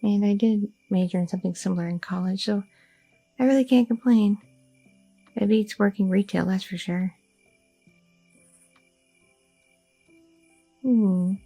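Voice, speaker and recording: Woman acting a mellow, husky goth girlfriend. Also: mid-range voice, moderate pace, faint music behind. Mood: relaxed, chatty. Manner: casual, rambling, teasing, slangy. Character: chatty, curious young adult goth girlfriend with an office job. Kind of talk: intimate ASMR audio roleplay.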